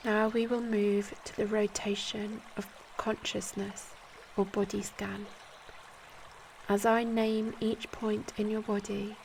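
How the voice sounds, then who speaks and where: A woman leads a guided relaxation in a flat, soothing tone with noticeable creak in her voice. She gives short, calm instructions at a very slow pace, leaving space between them. Soft trickling water runs behind her. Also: medium pitch, slight background noise. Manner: calm, soothing, measured instruction with long pauses. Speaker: yoga nidra guide. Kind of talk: guided meditation.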